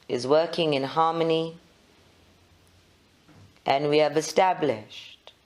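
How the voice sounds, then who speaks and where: A meditation leader, giving measured guided instructions in short phrases with long pauses. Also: medium pitch, a little mellow.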